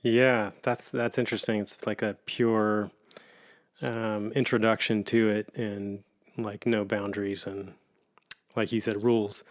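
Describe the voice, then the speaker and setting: A man's voice: fairly low pitch, flat delivery, a little slow. A man hosting a podcast, asking questions in an easygoing way with casual slang. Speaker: podcast host. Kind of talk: casual interview.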